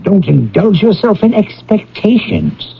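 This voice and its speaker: A man's voice: sits high, big pitch swings, unhurried, gravelly, slight background noise, full character voice. An actor playing a bitter, miserly old businessman in a classic radio drama, with scornful, snappish, grumbling delivery. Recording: dull lo-fi old radio broadcast.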